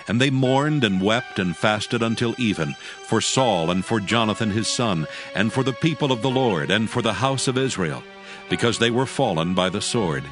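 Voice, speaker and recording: A man narrates scripture in a steady, measured storytelling voice that sits a little low. Faint music plays softly behind him. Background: faint background music.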